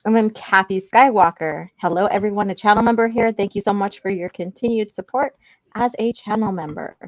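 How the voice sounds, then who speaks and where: A woman's voice: mid-range voice, fairly quick, no echo, lo-fi sound. A woman hosting a livestream, chatting informally and reading out viewer comments. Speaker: livestream panel host.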